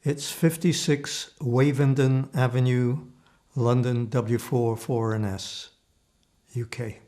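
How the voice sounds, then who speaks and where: A man in his eighties, born in Slovakia with Slovak as his first language, giving oral history testimony in a measured, thoughtful way with frequent restarts. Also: medium pitch, fairly even intonation, mellow. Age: 80s. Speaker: interviewee.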